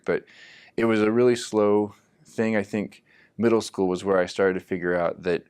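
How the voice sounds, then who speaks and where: Deep-voiced reflective man. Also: vocal fry. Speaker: interviewee. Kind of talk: personal interview.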